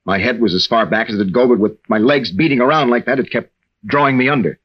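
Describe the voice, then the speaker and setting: Young American husband, ex-navy, troubled and halting, played by a male radio actor; husky voice, dull vintage sound. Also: medium pitch, close to an everyday voice. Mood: haunted, confessional. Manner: halting, earnest, with stammered restarts. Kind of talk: 1940s-50s radio drama acting. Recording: dull old-time radio recording.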